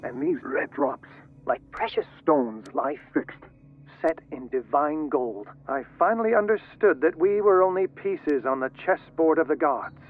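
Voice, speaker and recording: An actor playing a solemn scholar obsessed with occult secrets, reading letters formally through a radio-like filter in a video game. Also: male voice, a little high, animated, measured pace, soft background music. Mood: solemn, confessional. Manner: formal, literary. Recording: tinny, narrow radio-like sound.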